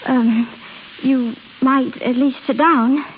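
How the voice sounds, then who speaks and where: A high, youthful woman's voice from a golden-age radio drama. She is an estranged young wife, earnest and halting, wistful but firm. The sound is thin and phone-like with faint hiss and crackle. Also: fairly even intonation. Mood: awkward, polite. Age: young adult. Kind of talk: 1930s-40s American radio drama acting. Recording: scratchy, hissy old radio broadcast, phone-like.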